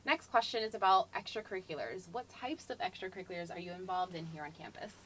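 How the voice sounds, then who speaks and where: A woman hosting an admissions Q&A, giving informal explanatory advice in measured phrases with hesitant pauses. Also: lowish voice, a bit bright, poor audio. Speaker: admissions Q&A host. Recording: faint background noise.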